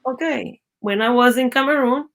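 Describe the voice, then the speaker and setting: A middle-aged woman from Venezuela speaks English with a Venezuelan Spanish accent, a native Spanish speaker's rhythm running through her phrases. Her voice sits fairly high, and she tells her stories in a lively way, acting out the voices she quotes. She often breaks off and restarts a phrase mid-thought as a guest in a relaxed interview. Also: normal speed. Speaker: guest, biologist. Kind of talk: conversational storytelling interview.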